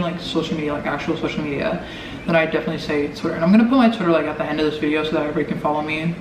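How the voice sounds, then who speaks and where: Echoey, higher-pitched young American man. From Omaha, Nebraska, United States. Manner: informal, rambling, heavy use of "like". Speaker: vlogger. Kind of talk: casual chatty Q&A to camera. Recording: echoey noisy room, distant mic.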